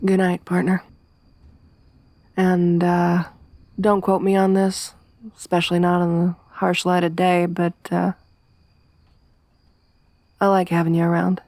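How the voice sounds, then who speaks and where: A woman playing a low, soft-spoken tough survivor girl. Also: monotone, measured pace, pauses often, no echo. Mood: soft, wry. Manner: soft-spoken, wry, quietly reflective. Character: tough tomboyish young woman, weary survivor companion. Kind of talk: ASMR roleplay.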